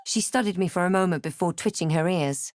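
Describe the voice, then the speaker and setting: A woman's voice: on the low side, a little fast, no echo, lightly acted. Wry halfling adventurer played by a woman, dry close sound. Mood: wry, watchful. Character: female halfling adventurer, wry, wary, snarky. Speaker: player character in a fantasy video game. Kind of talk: scripted video game voice lines and narration.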